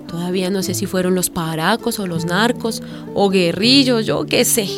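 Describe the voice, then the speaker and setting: A woman's voice: mid-range voice, moderate pace, music in the background, close to an everyday voice. Actress as a spirited young Colombian woman. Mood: sorrowful, earnest. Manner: animated, familiar, slangy. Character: lively, resilient young Colombian refugee woman selling vegetables. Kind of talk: radio drama dialogue.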